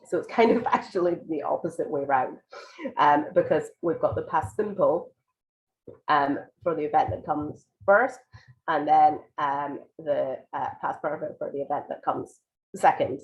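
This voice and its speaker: A woman teaching an online IELTS writing lesson, croaky and congested from a cold, explaining patiently with many ums and restarts. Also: low-pitched, measured pace, dull audio. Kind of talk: live online lesson, answering viewer questions.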